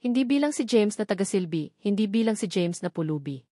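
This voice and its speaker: A woman with a Filipino accent narrates a scripted drama in audiobook style. Her voice sits at a medium pitch for a woman. The sound is dry, with hardly any room in it. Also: expressive, fairly quick, dark tone, dry recording.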